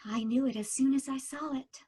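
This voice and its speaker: An actress playing a calm, eerily cheerful woman passenger in an old-time radio drama, insistent and serenely unbothered. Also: sits high, a little fast, husky. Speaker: cab passenger.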